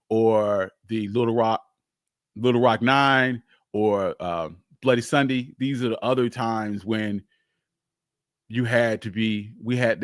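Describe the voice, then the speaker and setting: Casual, halting man chatting live. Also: lowish voice, dry recording, clean studio sound. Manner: halting, rambling, candid. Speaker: livestream host, history storyteller. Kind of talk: casual unscripted live chat.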